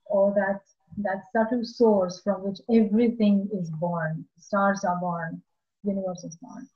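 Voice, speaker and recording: A woman in her forties with an Indian accent, an architect explaining her work carefully on a video call from a room at home. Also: medium pitch. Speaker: architect guest. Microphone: laptop webcam on video call, earphones. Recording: dull lo-fi video call audio.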